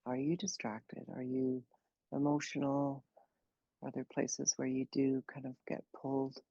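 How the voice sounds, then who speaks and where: A woman leading a guided meditation, speaking slowly and gently in an unhurried way with long pauses. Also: rumbling low, level tone, vocal fry, dry recording, lo-fi sound. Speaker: meditation teacher.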